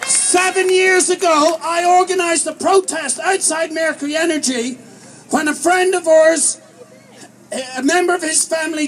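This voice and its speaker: A man with an Irish accent giving a rousing, declamatory political speech at an outdoor protest rally. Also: very high-pitched. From Ireland. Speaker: protest organiser and rally speaker. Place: outdoors at a street march. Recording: outdoor rally, crowd and background noise.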